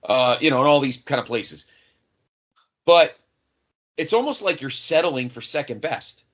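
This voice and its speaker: A man co-hosting a casual wrestling podcast, emphatic and quick to agree, with casual swearing. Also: medium pitch, lively intonation, fairly bright tone. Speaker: podcast co-host.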